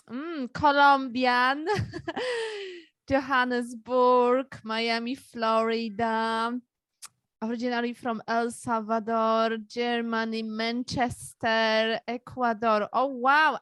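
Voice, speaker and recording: A woman from Poland, a dating coach hosting a live webinar, speaking in a slow, friendly way with many fillers and soft laughs, recorded on a computer mic during a video call. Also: on the high side, no echo.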